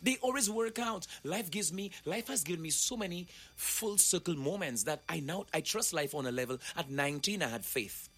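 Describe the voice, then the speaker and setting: Man, 40s, motivational guest. Slightly high, husky voice, preachy and emphatic. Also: lively intonation, normal speed, few pauses, a bit bright. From Saint Lucia. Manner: preachy, emphatic, addresses listeners directly. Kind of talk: animated motivational talk.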